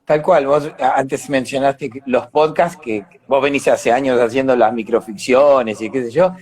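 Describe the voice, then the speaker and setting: An Argentine man speaks as a guest in a casual live online chat. His pitch is average for a man. He is reflective and often feels his way through a phrase. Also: steady tone, moderate pace, darkish tone. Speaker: interview guest, radio drama actor. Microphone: online video call.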